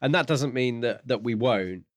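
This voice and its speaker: A man's voice: medium pitch, normal speed, no echo, studio quality. A man co-hosting a podcast in a casual discussion about a book. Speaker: podcast co-host.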